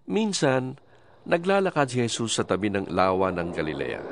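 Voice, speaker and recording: A man narrating a dramatized scripture reading in a measured storytelling manner. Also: lowish voice, huge pitch swings, background noise. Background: music.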